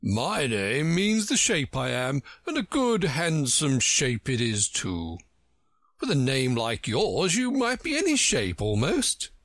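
A man voicing a pompous, testy egg-man from a storybook, with a haughty, growling, drawn-out delivery. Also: medium pitch, huge pitch swings, fairly bright tone, dry recording, clean studio sound, voice pushed up, heavily acted. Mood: impatient, scornful. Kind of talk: storybook character reading.

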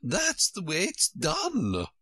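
A man voicing a flighty, bossy old queen in a storybook, with a squeaky, shrill voice and emphatic, drawn-out words. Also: wildly swooping pitch, bright, forceful tone, no echo, exaggerated voice. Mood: brisk, decisive. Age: older. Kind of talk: storybook character reading.

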